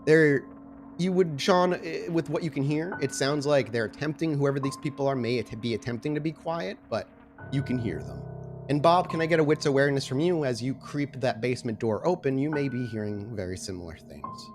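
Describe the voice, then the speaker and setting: Guy running a tabletop rpg, describing scenes, average pitch, bit of music behind him. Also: brisk. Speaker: game master. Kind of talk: narrating a tabletop roleplaying game. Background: background music.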